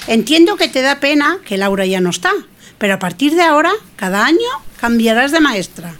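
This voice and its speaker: A woman's voice: on the high side, big pitch swings, a little fast, a bit bright. A woman playing an affectionate, reassuring mother in an audio drama. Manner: coaxing, reassuring. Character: affectionate, dismissive mother reassuring her child.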